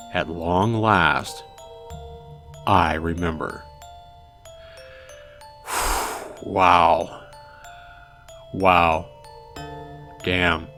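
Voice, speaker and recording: A man hosting a let's play, reading a visual novel aloud in a dramatic way and adding hushed asides. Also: low voice. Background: game music.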